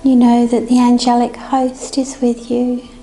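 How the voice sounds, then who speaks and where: Slow, high-voiced woman guiding meditation. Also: completely flat delivery. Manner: gentle, soothing, unhurried instructions. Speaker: meditation guide. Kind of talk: guided meditation. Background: music.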